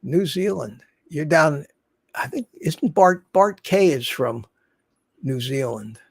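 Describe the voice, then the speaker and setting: A man's voice: a little high, animated, takes it slowly, husky, no echo. A man in his seventies hosting a casual livestream from his home studio, rambling and restarting his sentences as he chats. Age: 70s. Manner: chatty, rambling with many restarts. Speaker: livestream host. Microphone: studio desk mic.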